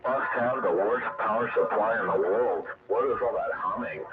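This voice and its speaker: A man's voice: on the low side, moderate pace. A man chatting casually as a CB radio operator, brash and heckling, heard through a radio transmission. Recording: noisy, muffled CB radio transmission.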